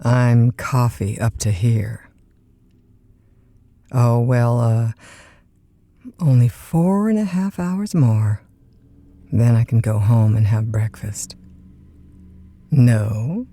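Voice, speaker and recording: A woman's voice: rumbling low, extremely slow, long pauses, mellow, dry recording, heavily acted. A woman playing a chatty, lonesome overnight disc jockey in an audio drama, with a folksy, polite, hesitant conversational manner. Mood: wry, weary. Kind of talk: naturalistic audio drama acting, one side of phone calls.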